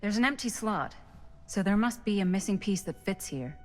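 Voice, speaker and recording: A woman's voice: mid-range voice, animated, moderate pace, darkish tone, faint music behind, natural voice. A woman playing a practical, skeptical investigator in a video game, speaking matter-of-factly. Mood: focused, skeptical.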